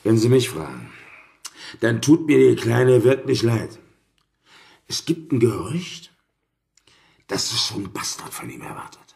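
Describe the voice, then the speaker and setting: Gravelly, excitable gossiping townsman. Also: medium pitch, unhurried, long pauses. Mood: indignant. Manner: theatrical, swinging from mutters to exclamations. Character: gossiping, chuckling, agitated townsman in a colonial port. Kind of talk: German audio drama acting.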